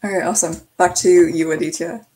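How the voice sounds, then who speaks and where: A woman's voice: on the low side, fairly even intonation, dark tone. A young woman from Canada hosting a casual group discussion over a video call, passing the word from guest to guest. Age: young adult. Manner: friendly, quick hand-offs between guests. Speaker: host.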